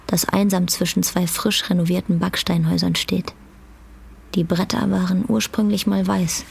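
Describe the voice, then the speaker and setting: A woman's voice: on the low side, gravelly, darkish tone, slight background noise. A woman narrating a German radio drama from a script.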